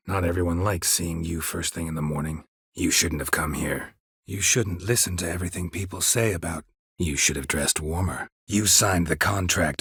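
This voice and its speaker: An actor playing a gruff, weary, sardonic monster hunter for hire in a video game, with terse, deadpan delivery and a low gravelly voice. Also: male voice, dry recording, heavily acted. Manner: terse, dry, deadpan, clipped sentences. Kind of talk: video game character dialogue.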